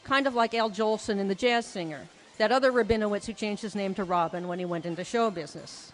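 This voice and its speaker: Female lecturer, mid-pitched, articulate storyteller reading prepared text; echoing hall, music underneath. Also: fast. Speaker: lecturer, author presenting research. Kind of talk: prepared lecture read aloud to an audience. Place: large hall at a conference. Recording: reverberant hall, music playing behind.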